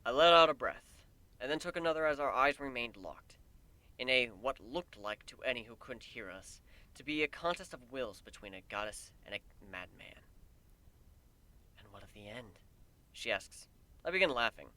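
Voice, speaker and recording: A man's voice: medium pitch, lively intonation, moderate pace, bright, forceful tone. A man reading first-person fan fiction aloud as dramatic scripted narration. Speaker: amateur story reader.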